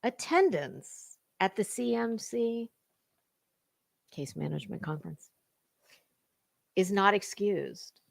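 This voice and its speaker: A woman's voice: mid-range voice, expressive, slow pace, vocal fry, long pauses. A woman hosting a gossip stream, giving a dramatic reading with sarcastic, mocking commentary in a theatrical, sassy way. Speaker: host of a gossip commentary livestream.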